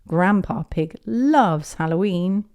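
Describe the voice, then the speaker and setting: A woman's voice: fairly low pitch, animated, slow, a little mellow, clear, resonant tone. A woman voicing a jolly old grandfather pig in a children's storybook, playful and hammy.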